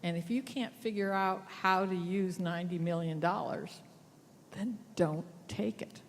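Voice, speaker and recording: A woman's voice: fairly low pitch, unhurried, slight background noise. A woman investor and entrepreneur giving direct advice on a panel. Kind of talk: panel discussion. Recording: reverberant hall with faint ambience.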